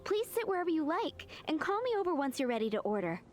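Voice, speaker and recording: Polite waitress from a video game, high voice, very lively and fast. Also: female voice, soft background music. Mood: welcoming. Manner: polite, welcoming. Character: courteous, bustling young restaurant waitress.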